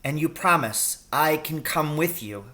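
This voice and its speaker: A man's voice: fairly high pitch, expressive, a little slow, sharp tone, voice pushed up. A man voicing an eager, earnest young boy in a storybook, questioning and pleading with his father.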